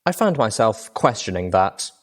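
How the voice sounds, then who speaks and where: Low-voiced man narrating a story. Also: moderate pace. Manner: steady first-person narration. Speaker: audiobook reader. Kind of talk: fan fiction audiobook narration.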